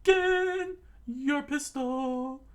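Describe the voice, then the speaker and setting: A man voicing a hot-headed, defiant young male cook, yelling in a shrill falsetto. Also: very slow, exaggerated voice.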